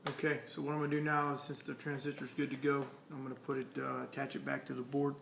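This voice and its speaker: A man's voice: medium pitch, completely flat delivery, quick pace, gravelly, noisy background. A man giving a casual hands-on tutorial, talking plainly step by step as he works at a repair task. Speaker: electronics hobbyist demonstrating a repair.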